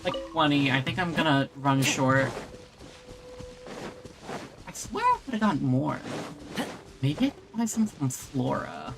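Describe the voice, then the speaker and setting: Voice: medium pitch, animated, measured pace, vocal fry, long pauses, rough recording. A video game streamer giving casual live commentary, thinking aloud and muttering to themselves while playing. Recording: game music behind the voice. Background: video game music.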